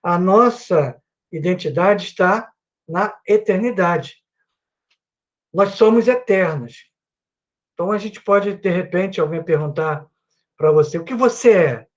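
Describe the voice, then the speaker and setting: A man's voice: sits high, a little slow, pauses often, lo-fi sound. A Brazilian man teaching a Bible class at his computer with a microphone and headphones. He speaks earnestly and keeps adding little "né" tags. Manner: earnest, repeats himself with many "né" tags. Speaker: Sunday school teacher.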